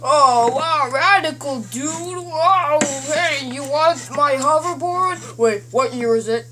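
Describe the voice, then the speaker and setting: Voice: sits high, slow pace, pushed and bright, exaggerated voice. A performer voicing a laid-back nineties college-dude version of a retro game hero, stretching out slacker slang. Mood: amazed, stoked.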